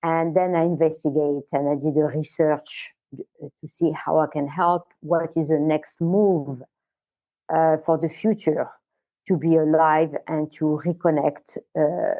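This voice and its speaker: An older woman from Morocco, a guest on an interview podcast, talking in a halting way with many fillers. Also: sits low, fairly even intonation, mellow. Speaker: guest, wellness center founder. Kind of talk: casual interview talk. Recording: tinny phone-like line.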